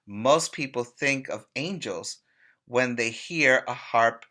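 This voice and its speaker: A man teaching preschoolers by reading aloud in a deliberate, emphatic way, pausing to put questions to his young listeners. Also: medium pitch, sharp tone. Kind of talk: read-aloud lesson for young children.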